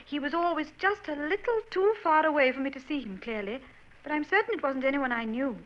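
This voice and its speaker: A woman's voice: high voice, brisk, lightly acted. An actress playing an earnest young Victorian woman in an old radio drama, talking in a hesitant, genteel way. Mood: worried. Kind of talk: 1940s radio acting. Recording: dull old radio broadcast.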